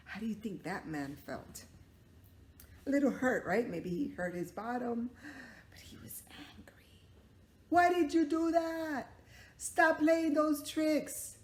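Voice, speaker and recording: A woman's voice: lowish voice, a little mellow. A woman telling a story to young children like a teacher, encouraging them with questions and acting out little sound effects. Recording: roomy echo, clear background noise.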